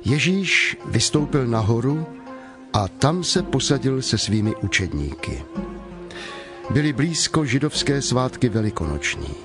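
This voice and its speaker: A man narrating scripture as a measured storyteller from a script. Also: medium pitch, very animated. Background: prominent music.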